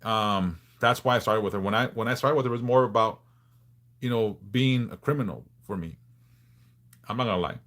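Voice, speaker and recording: A man in his forties from Southern California, hosting a casual livestream and answering viewers in a frank, laid-back way with halting restarts. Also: fairly low pitch, a bit bright. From Los Angeles, California. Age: 40s. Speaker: livestream host.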